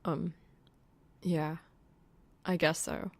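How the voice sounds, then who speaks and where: A woman voicing a wry, exasperated young male gamer in a deadpan, grumbling way for an audiobook. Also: deep voice, very slow, gravelly, heavily acted. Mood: awkward.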